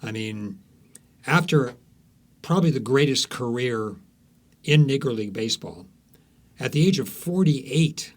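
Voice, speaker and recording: Interview guest, male author, average pitch, anecdotal and conversational, halting yet emphatic delivery. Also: lively intonation.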